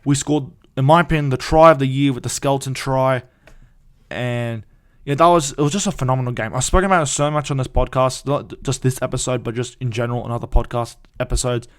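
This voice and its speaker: A young man, hosting a casual solo fan podcast in an excited, rambling way with frequent restarts. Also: mid-range voice, fast.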